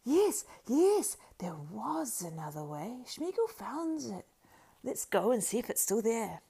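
A woman voicing a wretched, cringing male creature who wails and pleads in a shrill, squeaky whisper. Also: big pitch swings, slow pace, vocal fry, warm tone, breathy edge, exaggerated voice. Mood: wheedling. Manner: wailing, pleading, singsong.

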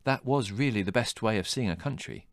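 A man's voice: lowish voice, expressive, fairly quick, no room sound, clean studio sound. A man voicing a frail, eager young city man who stammers and hesitates.

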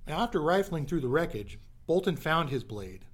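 A man's voice: a little high, big pitch swings, normal speed. A man narrating an adventure radio drama in a theatrical, scripted storytelling manner.

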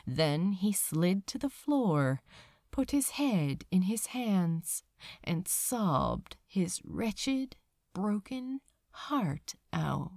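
A woman narrates fiction in a dry recording. Her delivery is slow and measured, with sorrowful drama and a very lively, moving pitch. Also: vocal fry, no echo. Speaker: fan fiction reader. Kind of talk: expressive scripted narration of a story.

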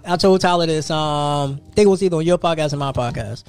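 Guy in his 30s chatting on a podcast, kinda high voice, cussing a lot, faint music behind. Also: fast, darkish tone, clean tone. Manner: loose, profane, storytelling. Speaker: podcast host. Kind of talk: casual podcast chat.

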